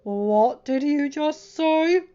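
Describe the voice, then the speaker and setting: A sneering teenage bully from an audiobook story, voiced by a woman. The boy drawls his taunts snidely and forcefully. The sound is slightly muffled. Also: sits high, drawn-out words, pitched above the performer's own voice. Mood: angry.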